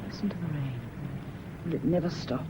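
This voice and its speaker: A woman's voice: low voice, brisk, natural voice. An actress with a British accent playing an anxious, wronged lady's companion in a radio drama, agitated and pleading. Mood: uneasy, anxious. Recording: dull lo-fi radio recording, faint noise.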